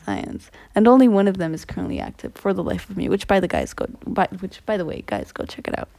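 A young woman podcast host whose voice swoops around with a slight gravelly creak. She is chatty and teasing and keeps the casual interview light with frequent giggles. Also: medium pitch, big pitch swings, fast talker, dark tone. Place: indoors at a family home.

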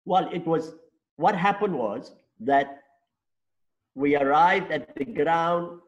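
A man's voice: a little high, slow, lo-fi sound. An older man in his sixties with a South African accent, a native Afrikaans speaker, telling his life story at length in an interview and checking in with rhetorical questions. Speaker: interview guest.

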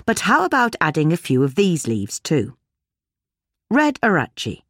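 A woman's voice: low voice, huge pitch swings, a little slow, a bit bright, no room sound, clean studio sound. A woman with a British accent reading a scripted article as a narrator.

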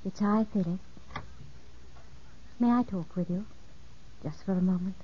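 A woman acting a gracious, affectionate widowed gentlewoman in a 1950s radio drama, with soft, genteel delivery. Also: mid-range voice, unhurried, noisy background, close to an everyday voice. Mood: apologetic, gentle. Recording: dull vintage radio recording with music behind.

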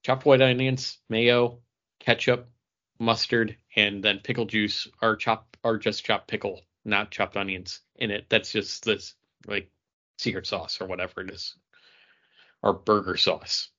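Low-voiced American man in casual conversation. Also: level tone, a little slow, sharp tone. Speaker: podcast co-host. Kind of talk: casual podcast chat. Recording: dull, lo-fi audio.

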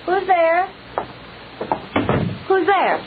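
A woman's voice: very high-pitched, animated, very slow, exaggerated voice. Frightened young woman, tinny radio. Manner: nervous, faltering. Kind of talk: 1940s radio acting. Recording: tinny, noisy old-time radio recording.

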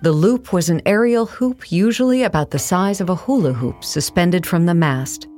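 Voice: mid-range voice, normal speed, darkish tone, faint music behind, natural voice. A narrator reading a scripted documentary account for an audio play in a formal, factual manner.

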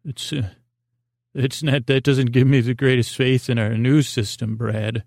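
A man with a creaky voice hosting a bedtime podcast, meandering and repeating himself in a whimsical, improvised monologue that drifts into tangents. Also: medium pitch, flat delivery, measured pace, darkish tone, no room sound, clean studio sound. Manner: meandering, repetitive, trails off into tangents; whimsical, silly, talks to an imagined listener. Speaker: podcast host.

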